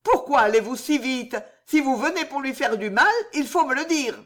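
A fierce peasant woman, voiced by a woman narrator, protective and quick to scold, her voice raised and pitched high. The lines ride up and down with feeling in an audiobook. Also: sing-song, fairly bright tone. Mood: angry. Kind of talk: audiobook reading.

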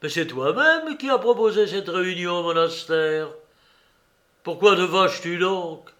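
A man voicing a boisterous, mocking old father who shouts and jeers theatrically. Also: sits high, animated, measured pace, pitched above the performer's own voice. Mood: wheedling.

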